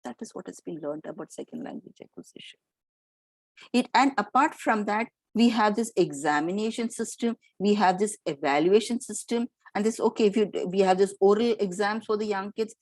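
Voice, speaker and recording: A woman's voice: mid-range voice, normal speed, a little mellow, dry recording. A middle-aged Indian woman giving an explanatory lecture to teachers, with fillers and restarts. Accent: Indian English.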